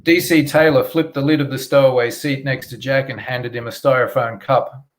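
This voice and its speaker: A man's voice: medium pitch, steady tone, moderate pace. A man with a mid-range voice, speaking as a guest author. He moves between informal talk and narrating fiction from the printed page. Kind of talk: casual chat and reading his fiction aloud.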